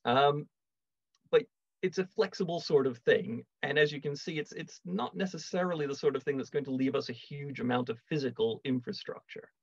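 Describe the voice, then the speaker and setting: A middle-aged man giving a measured online lecture on a video call, with wry asides. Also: a little high, slightly rough, dry recording. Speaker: lecturer, archaeology project manager. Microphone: video call webcam. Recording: dull, dry video call audio.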